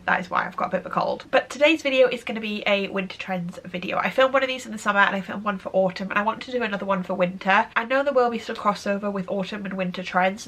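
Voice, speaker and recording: A woman's voice: mid-range voice, fairly bright tone. Stuffy-voiced woman with a cold. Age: 30s. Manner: chatty, repeats "really, really". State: has a cold, slightly nasal and stuffy. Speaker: fashion vlogger. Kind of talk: casual chatty vlog talk.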